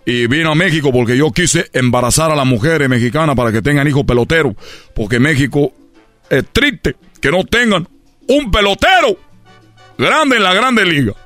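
A man's voice: a little high, expressive, normal speed, a bit bright, dry recording, faint music behind. A man playing a boastful Cuban baseball player with a Cuban accent in a comedic radio sketch, rambling and tripping over his words. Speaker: comedy character on a radio show.

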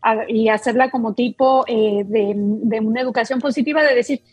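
A woman's voice: on the high side, normal speed, darkish tone, husky, no echo. A woman doctor, explaining a topic patiently as a guest on a talk show. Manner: explains patiently, with many fillers and restarts.

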